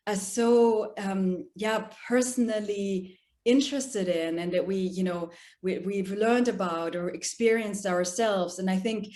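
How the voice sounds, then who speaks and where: A woman who grew up in Germany, a documentary filmmaker answering questions in a festival Q&A, talking with many fillers, restarts and animated turns. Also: medium pitch, a little slow, reverberant. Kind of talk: festival Q&A interview.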